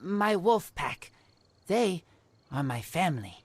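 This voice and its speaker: A woman voicing a feral teenage boy raised by wolves in a video game, earnest and guarded, speaking in halting, terse broken sentences. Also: on the low side, sing-song, darkish tone, a little hiss or hum. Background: game music.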